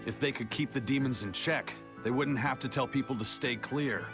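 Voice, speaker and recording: A frank pirate first mate voiced by a man with a mid-pitched voice. He speaks plainly and with command, in video game style, with clear music behind. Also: moderate pace, close to an everyday voice. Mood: matter-of-fact.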